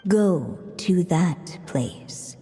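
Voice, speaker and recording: A woman voicing a mysterious storyteller in a fantasy game, reading a storybook riddle with measured, lyrical phrasing. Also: fairly low pitch, expressive, mellow. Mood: enigmatic. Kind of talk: storybook narration in a video game. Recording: long hall reverb, music behind.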